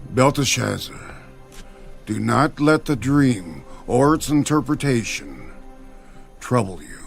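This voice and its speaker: Proud, solemn monarch for scripture audio drama; mid-pitched male, very unhurried, music underneath. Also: animated. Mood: grave, commanding. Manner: solemn, deliberate, stately. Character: proud king recounting a troubling prophetic dream. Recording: clear music bed behind.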